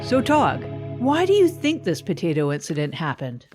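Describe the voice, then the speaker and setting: A woman's voice: on the low side, huge pitch swings, normal speed, darkish tone, soft background music. A woman playing a breezy small-town podcast interviewer, talking in a presenterly way in a scripted mock interview. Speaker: podcast host.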